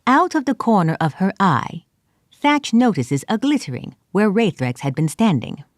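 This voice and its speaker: A woman's voice: medium pitch, big pitch swings, normal speed, no room sound, studio quality. A woman narrating a tabletop fantasy adventure as game master, in dramatic scripted storytelling style. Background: music.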